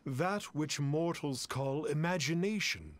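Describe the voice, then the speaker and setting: An actor playing a pompous, grandly courteous self-styled mystical sage in a video game, with lofty archaic phrasing. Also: male voice, fairly high pitch, unhurried, lightly acted. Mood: lofty, assured.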